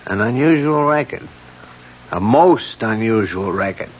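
An actor playing a calm, reassuring police lieutenant in a 1970s radio drama, measured and a little skeptical. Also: male voice, on the low side, slight background noise. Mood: measured, thoughtful. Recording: dull vintage radio broadcast.

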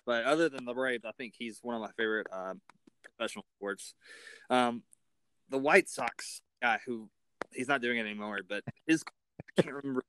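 A man interviewing a guest in a casual, halting way, as a sports fan. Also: medium pitch, fairly bright tone, a little hiss or hum, rough recording.

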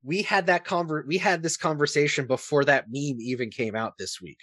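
A man's voice: a little high, brisk, fairly bright tone, dry recording, studio quality. An American man co-hosting a casual sports podcast, opinionated and fond of putting rhetorical questions.

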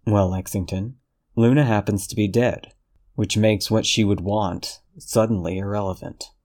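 A man's voice: lowish voice, fairly even intonation, normal speed, darkish tone, close to an everyday voice. A man doing a cold, menacing detective.

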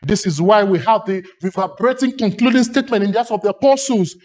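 A man's voice: high-pitched, fast talker, darkish tone. A man from Lagos with a Nigerian accent, preaching a sermon with emphatic, rhythmic repetition and long lists.

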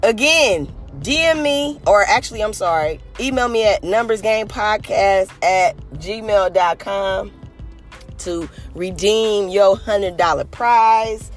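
Hoarse Californian podcast host, mid-pitched, earnest and rambling over soft background music. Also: slow. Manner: earnest, rambling, many "you know" fillers. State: hoarse, a little raspy. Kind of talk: motivational podcast monologue.

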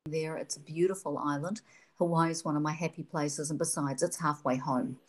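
A woman's voice: sits low, steady tone, fairly quick. A woman from New Zealand with a New Zealand accent, hosting an interview conversation and asking questions in an effusive, enthusiastic way. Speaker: podcast host.

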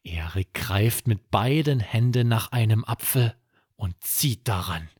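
Male podcast storyteller for children's bedtime tales; unhurried, very animated, dry recording. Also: on the low side, husky, no echo. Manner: expressive, dramatic storytelling. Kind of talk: scripted children's bedtime narration.

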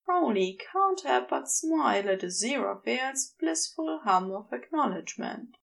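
A woman reading a piece of fiction in a measured, deliberate, intimate storytelling style. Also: high voice, lively intonation, creaky, fairly bright tone. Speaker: amateur audiobook narrator.

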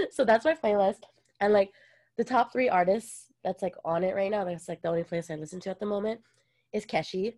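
A woman's voice: mid-range voice, fairly quick, a little mellow. A young woman from Hawaii co-hosting a podcast in casual chat, chatty and full of "like" and laughs. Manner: chatty, says "like" a lot, laughs often. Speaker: podcast co-host.

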